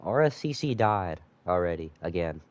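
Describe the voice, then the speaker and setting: A man's voice: sits low, sing-song, normal speed, no room sound. A man sick with a cold, slightly nasal, rambling casually to viewers as he commentates a live game stream.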